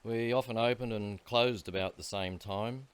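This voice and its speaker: A chatty neighbourhood shop owner giving a witness account in a radio drama, played by a man. He has a slightly low voice and a matter-of-fact way of talking. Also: fairly even intonation, moderate pace, clear, resonant tone, lightly acted. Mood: chatty, certain. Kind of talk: radio play acting.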